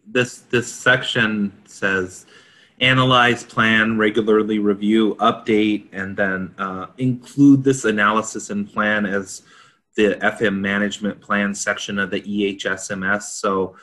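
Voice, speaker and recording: Bright-voiced man with low pitch. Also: fairly even intonation, a little slow. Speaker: consultant panelist. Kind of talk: explanatory discussion.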